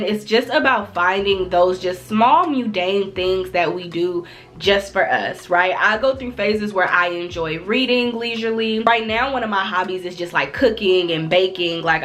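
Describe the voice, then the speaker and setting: A woman talking to camera in a relaxed self-development vlog, her voice a bit nasal and congested from a cold, earnest and chatty with casual slang. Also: medium pitch. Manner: earnest, repeats phrases for emphasis. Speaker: vlogger.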